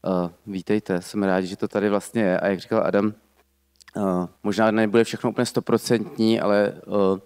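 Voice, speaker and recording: Low-voiced man giving brief welcome. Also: flat delivery, a little mellow, smooth. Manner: brief, plain, slightly halting. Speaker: company co-founder. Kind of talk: short welcome remarks. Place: large lecture hall. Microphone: handheld microphone.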